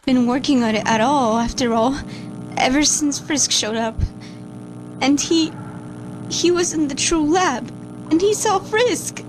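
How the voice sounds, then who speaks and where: A woman voicing a shaken, guilt-ridden scientist who records a lab log for a horror series, halting and stammering anxiously. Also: high-pitched, background noise. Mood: haunted, uneasy. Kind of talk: audio log monologue in an analog horror series.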